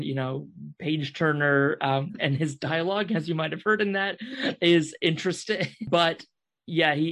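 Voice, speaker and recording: A man with plenty of vocal fry and a slightly raised pitch talks as a panel guest. His delivery is conversational, articulate and wry, with some hesitant repeats. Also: fairly bright tone. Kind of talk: conversational commentary.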